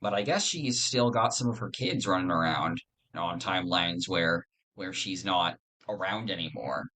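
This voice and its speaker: Man, low pitch, casual podcast chat, thoughtful, hesitant, rambling delivery. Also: expressive, normal speed, sharp tone, poor audio. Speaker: podcast lore guest.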